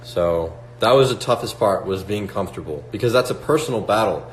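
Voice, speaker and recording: A man's voice: fairly low pitch, fairly quick. A man in his twenties hosting a casual livestream, talking to viewers in a motivational way with lots of "like" and "right?". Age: 20s. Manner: motivational, reads out chat questions, many fillers like "like" and "right?". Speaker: livestream host. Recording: echoey room with faint hum.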